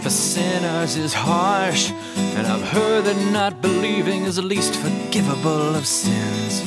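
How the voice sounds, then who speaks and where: A woman singing a slow, plaintive song. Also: lowish voice, sing-song. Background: guitar music.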